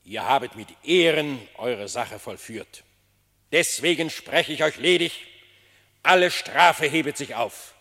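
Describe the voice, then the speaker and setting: A man's voice: mid-range voice, expressive, measured pace, a bit bright. An actor playing a solemn, gracious animal king, speaking stately, formal verse in a classic German radio play. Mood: solemn, proclaiming. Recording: long hall-like reverb on voice.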